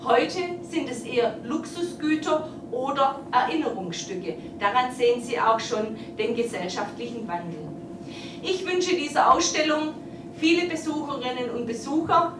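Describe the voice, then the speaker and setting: A woman's voice: high voice, normal speed. A woman giving a formal, earnest welcome speech as a local official opening an exhibition. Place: event hall. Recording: echoey hall, distant, noisy.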